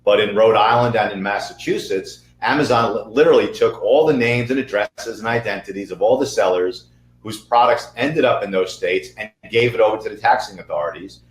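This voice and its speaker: A man hosting a webinar interview, chatty, energetic and jokey. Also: lowish voice, fairly quick, echoey room, low-quality recording. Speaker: webinar host. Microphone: video call.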